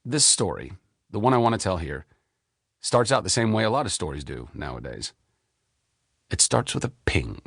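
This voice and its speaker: Expressive man reading his own memoir. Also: moderate pace, vocal fry, long pauses, husky, dry recording, clean studio sound. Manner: expressive, candid storytelling with wide pitch swings. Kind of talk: audiobook memoir narration.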